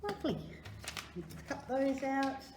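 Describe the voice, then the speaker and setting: A woman's voice: high-pitched, big pitch swings, a little slow. An Australian woman presenting to children, talking through craft steps in a chatty, singsong, encouraging way. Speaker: library storytime presenter. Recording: echoey room, faint background noise.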